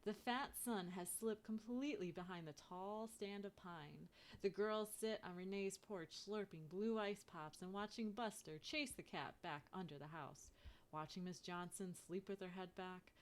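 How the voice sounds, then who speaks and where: A woman reading a children's picture book aloud in an expressive way, turning sing-song on chants. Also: mid-range voice, a little slow. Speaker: storybook reader.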